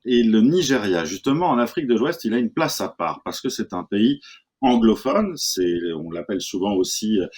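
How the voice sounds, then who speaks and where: A man moderating a conference panel, asking long halting questions full of fillers. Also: fairly low pitch, lively intonation, darkish tone, husky.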